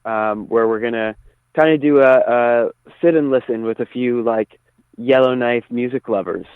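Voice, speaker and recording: A man's voice: medium pitch, normal speed, clean tone, no room sound. A man chatting as an interview guest over the phone, choosing his words thoughtfully. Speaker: musician guest. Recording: tinny narrow phone line.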